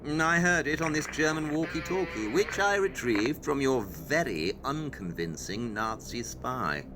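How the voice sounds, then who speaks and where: An actor playing a brisk, all-knowing male detective in an audio play, explaining things precisely and with condescension. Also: mid-range voice, expressive, background noise, natural voice. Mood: brisk, explanatory.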